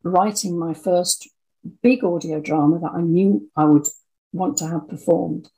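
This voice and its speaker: A woman's voice: on the low side, measured pace, mellow, husky, poor audio. A woman in her sixties, an interview guest telling her own story in a reflective, conversational way. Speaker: audio drama writer and producer, interview guest.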